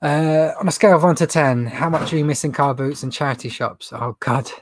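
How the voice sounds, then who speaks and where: A man's voice: a little high, a little fast, no room sound. A young man with a runny nose hosting a casual livestream Q&A from a stock room, rambling chattily and laughing at his own jokes. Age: young adult. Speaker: reseller livestream host. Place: indoor stock room with shelves.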